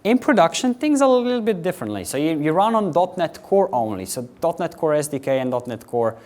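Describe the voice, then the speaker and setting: A young man from Slovenia with a Slovenian accent, giving a quick, chatty technical conference talk to a live audience. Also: on the high side, big pitch swings, darkish tone. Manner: quick, chatty presenter with filler words, addressing a live audience. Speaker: conference speaker.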